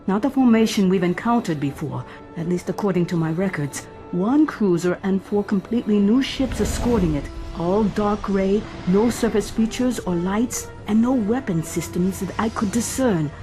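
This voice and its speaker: Female ship AI played by an actress: wry, precise, clinical, mid-pitched, set against music. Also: normal speed, natural voice. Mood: urgent. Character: wry, composed female shipboard artificial intelligence. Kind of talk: science fiction audio drama acting.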